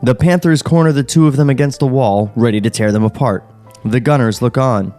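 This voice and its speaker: A man reading screenplay action lines aloud in a steady, mid-pitched voice, dry and a little wry. Light music sits faintly in the background of his podcast studio mic. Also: a little fast. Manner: dry, wry, with chuckles and sarcastic asides. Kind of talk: script table read, narrating stage directions.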